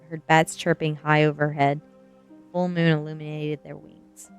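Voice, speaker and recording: A woman reading a first-person story aloud as ASMR, with soft music behind her. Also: sits low, little pitch movement, normal speed. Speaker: streamer and reader.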